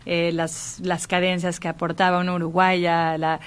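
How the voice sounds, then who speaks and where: A woman's voice: fairly low pitch, little pitch movement, moderate pace, clean tone. A middle-aged Mexican woman speaking as a guest in a reflective literary conversation, articulate and thoughtful. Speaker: interviewee, writer.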